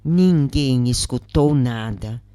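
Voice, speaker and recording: A Brazilian narrator reads a scripted story in a close, dry recording. The delivery is slow and deliberate, with measured pauses between phrases, in the manner of an audiobook. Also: mid-range voice, warm tone, no echo. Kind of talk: scripted narration, audiobook-style reading of a first-person spiritist account.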